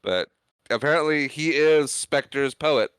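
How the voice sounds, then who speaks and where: A man's voice: fairly high pitch, slow pace, fairly bright tone, smooth, dry recording, studio quality. A man co-hosting a podcast, retelling film scenes in casual chat, acting out the characters' quoted lines. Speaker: podcast co-host.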